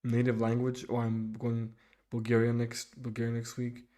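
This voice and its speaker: Soft-spoken young man, halting casual chat. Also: mid-range voice, monotone. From Sweden. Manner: halting, many restarts and fillers. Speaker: streamer. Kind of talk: casual live chat reading viewer comments.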